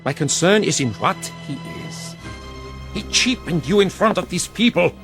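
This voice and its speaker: A cool, probing stranger questioning a demagogue. His voice is high and lively in pitch, with music behind him. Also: normal speed.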